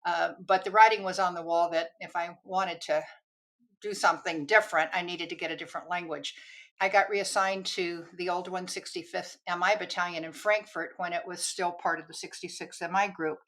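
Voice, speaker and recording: A woman's voice: on the low side, poor audio. An older woman, a retired army counterintelligence agent, telling stories from her career as a guest on a podcast. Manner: lively, storytelling, quick to laugh.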